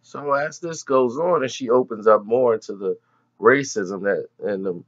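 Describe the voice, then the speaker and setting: A man's voice: medium pitch, moderate pace, dull audio. A man giving indignant, emphatic commentary on an interview clip. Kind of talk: reaction commentary.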